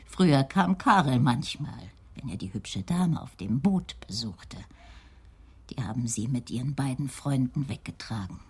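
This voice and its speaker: A frail old woman in a radio crime play. Her low voice is very husky and breathy, rising and falling widely as she rambles in halting, simple sentences, lonely and frightened. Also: full character voice. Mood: anxious, hushed. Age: older. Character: frightened, frail, lonely old Dutch widow, chattering about neighbours. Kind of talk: radio crime drama acting.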